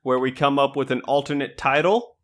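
A man co-hosting a film podcast in casual chat, jokey and animated, swearing freely as he tells his stories. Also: mid-range voice, fairly quick. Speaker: podcast co-host.

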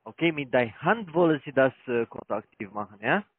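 A man who is not a native German speaker, with a foreign accent, making a persistent sales pitch as a call-centre caller. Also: expressive, a little fast, husky, dry recording. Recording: narrow tinny phone line.

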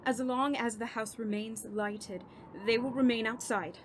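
A woman's voice: on the high side, steady tone, a little slow, natural voice. A woman playing a grave, fatalistic doctor in a horror radio drama, speaking earnestly and emphatically. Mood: grave warning. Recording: clearly noisy background ambience.

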